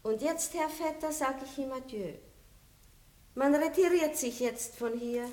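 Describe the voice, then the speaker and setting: An actress playing an aging noble princess in a radio play, melancholy and tender. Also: female voice, sits high, a little slow, a little mellow. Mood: commanding. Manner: melancholy, drops to a whisper in reflection. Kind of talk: 1960s radio play acting.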